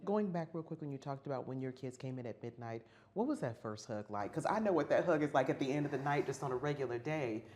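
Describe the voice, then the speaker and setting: A woman's voice: very deep voice, lively intonation. A woman interviewing a guest for a local TV station, asking encouraging questions. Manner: warm, encouraging, quick questions. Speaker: TV news interviewer. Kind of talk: interview conversation.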